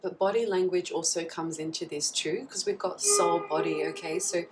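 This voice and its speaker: A woman with a husky voice from a recent flu, giving a casual tarot reading and often checking in with "okay?". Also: on the low side, moderate pace, loud music behind the voice. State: husky, getting over a flu. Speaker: tarot reader. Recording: slightly distant mic, faint background noise.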